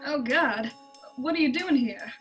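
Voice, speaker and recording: Woman acting a high-voiced femme fatale. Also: lively intonation, measured pace, fairly bright tone. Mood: cornered, cool. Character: sultry scheming femme fatale in a noir spoof. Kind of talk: radio drama comedy acting. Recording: music bed over video-call audio. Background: music.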